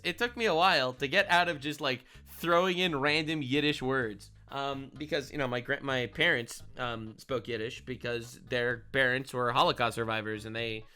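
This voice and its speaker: A man streaming casual reaction commentary, sarcastic and mocking, often ranting loudly. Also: medium pitch.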